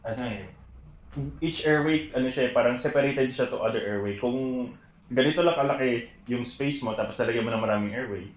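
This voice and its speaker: A man's voice: mid-range voice, a little fast. A young man with a Filipino accent chatting casually as a podcast guest, mixing in English phrases. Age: young adult. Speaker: podcast guest, air traffic controller. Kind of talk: casual podcast chat, code-switching. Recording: echoey room, faint background noise.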